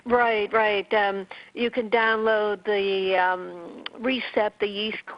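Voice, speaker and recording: A woman from Newfoundland talks a caller through advice in a brisk, practical way. Her pitch stays flat and even. The sound is dry and close, with a dull lo-fi radio tone. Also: no room sound. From Canada, Newfoundland. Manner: brisk, advising, many restarts. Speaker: radio show host. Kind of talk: casual call-in radio advice.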